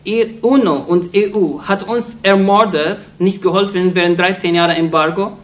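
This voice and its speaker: High-voiced man speaking urgently. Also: fairly bright tone. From Iraq. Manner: halting non-native German, frequent restarts and fillers; earnest and accusatory. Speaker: speaker addressing an audience. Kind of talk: impassioned political speech.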